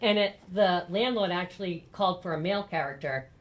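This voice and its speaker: A woman's voice: fairly low pitch, a little fast, highs cut off. A woman telling a casual anecdote in a rambling, conversational way at a live discussion. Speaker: community theater actor in the audience. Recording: noisy room at a live event.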